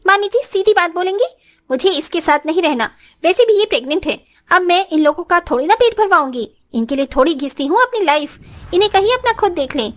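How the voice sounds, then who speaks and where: A woman voices a spoiled, arrogant young rich daughter-in-law in a cartoon. Her voice is extremely high and swings widely in pitch. She talks down to people with haughty, cutting scorn. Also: expressive, fairly quick. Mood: scornful. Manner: haughty, scornful, sharp-tongued. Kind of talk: Hindi moral story cartoon acting.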